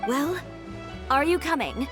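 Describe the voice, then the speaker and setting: Cool, blunt, self-assured heroine. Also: female voice, high-pitched, very animated, slow pace, fairly bright tone, heavily acted. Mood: cool, confident. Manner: terse, confident. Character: cool, bold, fiery-tempered woman, blunt and self-assured. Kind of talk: video game voice acting. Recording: music behind the voice.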